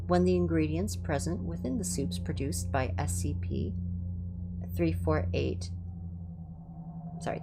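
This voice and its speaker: A woman hosting a reading livestream, moving between scripted narration and casual chat, with polite, careful, formal diction. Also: low voice. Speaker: livestream host reading horror fiction aloud.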